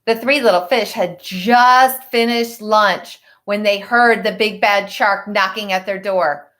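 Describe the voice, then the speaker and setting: A woman reading a picture book aloud to children in an expressive way, with chatty, teacherly asides to her listeners. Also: mid-range voice, slow pace. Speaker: storybook reader for children.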